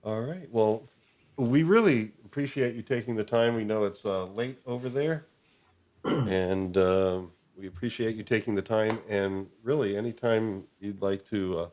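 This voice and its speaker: A man with a fairly low voice hosts a live stream. He chats casually and appreciatively, rambling a little and pausing as he gathers his thoughts. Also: a little mellow.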